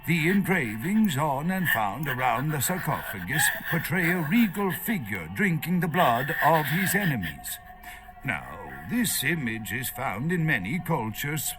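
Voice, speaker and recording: An actor playing a nervous, erudite archaeologist in a video game, lecturing hesitantly as he searches for words. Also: a little high. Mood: scholarly, animated. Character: nervous, erudite male foreign archaeologist held captive.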